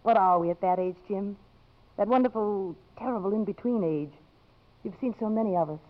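Poised, strong-willed career woman played by an actress, middle-aged, crisp delivery, muffled old radio drama sound. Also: medium pitch, a little fast, close to an everyday voice. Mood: flustered, wistful. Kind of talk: 1950s radio drama acting. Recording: muffled vintage 1950s radio recording.

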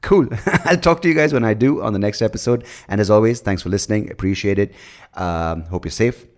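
A man's voice: lowish voice, a little fast. A man hosting his own podcast, talking alone in a blunt, profane, sarcastic way, rambling through asides and jokes. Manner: breaks into mocking shouts and laughs at his own jokes. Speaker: podcast host. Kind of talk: casual solo podcast monologue.

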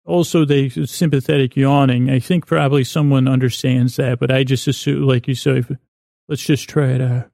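A man with a creaky voice hosting a bedtime podcast in a meandering, halting monologue full of unfinished sentences. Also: medium pitch, flat delivery, dark tone, dry recording, studio quality. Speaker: podcast host.

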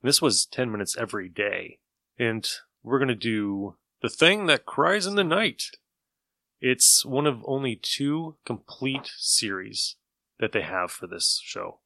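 A man's voice: mid-range voice, sing-song, measured pace, vocal fry, bright, forceful tone, no echo, clean studio sound. A man hosting a podcast, chatting casually as he introduces old radio shows. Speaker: podcast host.

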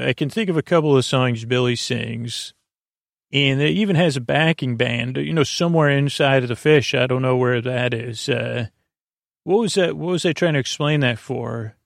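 A man hosting a bedtime podcast in a meandering, hesitant monologue that drifts off topic, with a gravelly, creaky voice. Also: medium pitch, no echo, studio quality. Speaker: podcast host.

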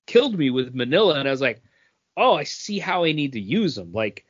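Male podcast co-host, average pitch, conversational game talk, lo-fi recording. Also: sing-song, brisk, husky. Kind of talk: casual chat about mobile games.

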